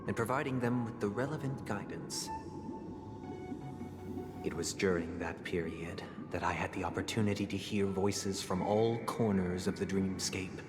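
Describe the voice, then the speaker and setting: An actor playing a solemn noble man who heads a family, telling stories in a measured, formal way with soft, hushed phrases. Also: deep voice, lightly acted. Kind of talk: game dialogue voice acting. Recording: reverberant with game music.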